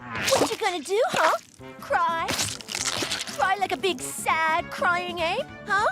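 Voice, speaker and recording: High-pitched, cocky cartoon girl. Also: animated, slow, music in the background, exaggerated voice. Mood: taunting. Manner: cocky, emphatic, lecturing. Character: brash, know-it-all human girl, bossy and boastful. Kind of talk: cartoon voice acting.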